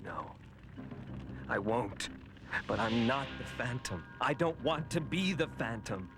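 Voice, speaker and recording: A man playing an earnest, reluctant eighteen-year-old hero in an animated series. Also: mid-range voice, slow pace. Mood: reluctant, urgent. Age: young adult. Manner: earnest, expressive. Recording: loud music behind the voice.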